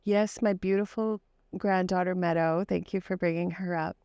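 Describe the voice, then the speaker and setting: Low smooth-voiced woman, passionate advocate talking in an interview, lots of ums. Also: steady tone, normal speed, warm tone, dry recording, studio quality. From Canada. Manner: earnest, passionate, with frequent fillers. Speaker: psychologist and advocate. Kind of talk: interview conversation.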